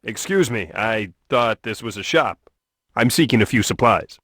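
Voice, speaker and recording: An actor playing a polite, earnest young adventurer who asks many questions, in a video game cutscene. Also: male voice, fairly low pitch, animated, moderate pace, a bit bright, slight background noise. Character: earnest young male adventurer seeking a cure for his curse.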